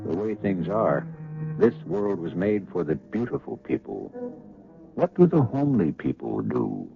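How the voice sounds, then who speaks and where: Casting: male radio narrator, gravelly and expressive, theatrical scripted delivery, thin vintage broadcast sound. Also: low voice, unhurried, phone-quality sound, soft background music. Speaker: narrator and host of radio drama.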